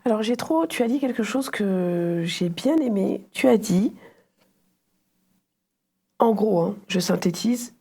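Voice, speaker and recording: Creaky, mellow woman moderating a discussion. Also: mid-range voice, animated, slow, long pauses. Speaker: moderator on a religious discussion show. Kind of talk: casual chat, summing up and questioning.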